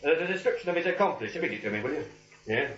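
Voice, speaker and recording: A man's voice: a little high, expressive, rapid-fire, fairly bright tone, slightly rough. A man playing a brusque, no-nonsense police lieutenant in 1940s radio comedy, firm and businesslike. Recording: muffled vintage radio recording.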